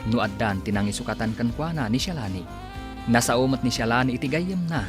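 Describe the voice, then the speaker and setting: A male announcer with an Ilocano accent and a mid-pitched voice. He presents a drama series in a theatrical, scripted way over faint music. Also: lively intonation, brisk.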